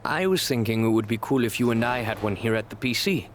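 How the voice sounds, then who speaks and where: An actor playing a friendly, sincere, reflective wrestler in a video game cutscene. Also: male voice, mid-range voice, lively intonation, fast talker, slight background noise, close to an everyday voice. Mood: earnest, friendly.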